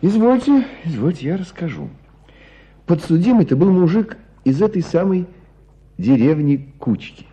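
A man acting in a radio play as a knowing hunter who tells a story with deliberate emphasis. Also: fairly high pitch, sing-song, dark tone, husky. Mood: animated storytelling. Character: knowing male hunter recounting an old court case. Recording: dull, muffled radio play sound.

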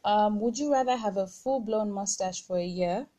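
A young woman hosting a casual chat with her friends, chatty and quick to laugh. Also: medium pitch, crowd noise. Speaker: host.

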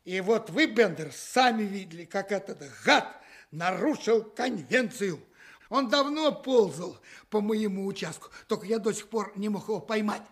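Husky, high-pitched, naive petty swindler. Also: male voice, normal speed. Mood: earnest, aggrieved. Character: naive young male petty swindler, slow-witted and eager. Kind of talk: radio play dialogue. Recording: radio play with faint music.

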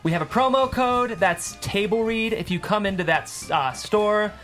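A man's voice: sits high, animated, moderate pace, fairly bright tone, faint music behind. A man hosting a casual panel discussion, chatty and conversational, often saying "like". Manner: chatty, frequent "like". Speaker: host and screenwriter.

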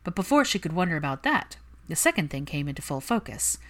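A woman's voice: sits low, very animated, fast talker. A woman reading fan fiction aloud as a scripted story narration.

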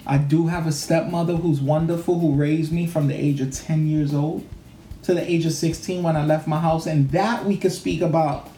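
A man's voice: a little high, dark tone, reverberant, slight background noise. A man co-hosting a podcast, talking casually and rambling with many restarts. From New York. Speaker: podcast co-host. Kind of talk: casual chat.

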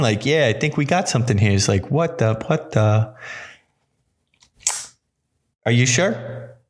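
A man doing a solo podcast monologue in a put-on accent, thinking aloud in a halting, self-interrupting, casual way. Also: on the high side. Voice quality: affected accent put on over his normal voice. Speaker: podcast host.

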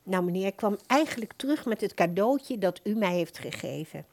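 A woman's voice: mid-range voice, animated, moderate pace, darkish tone, close to an everyday voice. An actress playing an older, thrifty and easily puzzled woman customer in a radio play, polite, hesitant and rambling.

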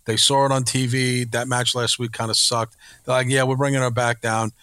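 A man's voice: mid-range voice, level tone, moderate pace, sharp tone, clear, resonant tone, no echo. A man co-hosting a wrestling podcast in casual, opinionated chat, emphatic and repeating words when worked up.

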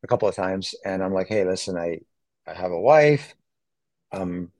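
A man in his forties, born in Greece, speaks with a fairly low voice. He talks casually as an interview guest, stopping often on fillers and restarting sentences. The sound is close and dry. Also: animated, darkish tone, dry recording. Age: 40s. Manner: halting, many fillers and restarts.

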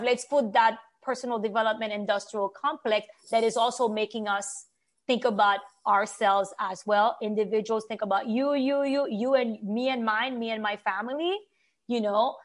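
Earnest woman with a higher-pitched voice. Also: moderate pace. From Haiti. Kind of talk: conversational opinion talk.

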